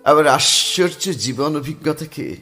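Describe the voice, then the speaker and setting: A musing middle-aged painter. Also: male voice, a little high, lively intonation, a little slow, sharp tone, soft background music, exaggerated voice. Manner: musing, self-talking, mixes English phrases. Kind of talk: radio drama acting and first-person narration.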